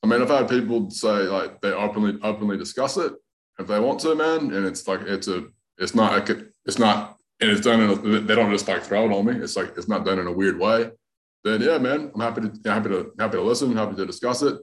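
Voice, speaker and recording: A man's voice: on the low side, a little fast. A man from North Carolina with a mixed Southern US and Australian accent, a comedian chatting casually as a podcast guest. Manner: laid-back, says man and dude a lot.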